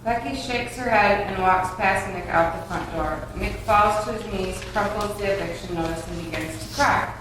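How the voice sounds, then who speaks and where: A woman's voice: mid-range voice, moderate pace, few pauses, fairly bright tone. A woman reading stage directions as scripted narration at a table read. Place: reverberant room, far from mic. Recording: echoey room, distant mic, faint noise.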